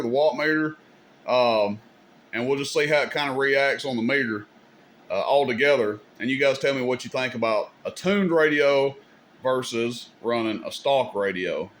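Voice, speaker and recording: A man's voice: medium pitch, moderate pace, a little hiss or hum. A man hosting a casual, hands-on technical demonstration, speaking informally and directly to viewers in a folksy way. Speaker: video host demonstrating CB radio gear.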